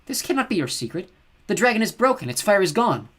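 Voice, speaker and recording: A man's voice: sits high, big pitch swings, fast talker, a bit bright, breathy edge, voice pushed up, heavily acted. A man voicing a cruel, haughty female snow goddess who snarls and scorns. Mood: scornful.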